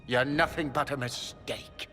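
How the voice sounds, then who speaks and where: An actor playing a proud, scheming middle-aged magister in a video game, theatrical and pompous with silky menace. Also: male voice, mid-range voice, fairly even intonation, measured pace, a bit bright, natural voice. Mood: scornful anger. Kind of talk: dramatic video game voice acting. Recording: long hall reverb, music underneath.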